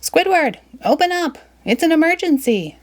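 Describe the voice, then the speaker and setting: A woman voicing an excitable young male cartoon sponge, high, eager and bubbly. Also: normal speed.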